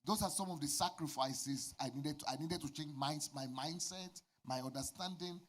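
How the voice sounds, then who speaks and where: A man's voice: a little high, moderate pace. A man from Nigeria, a Yoruba speaker, preaching and giving a candid testimony in short phrases for an interpreter. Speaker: pastor.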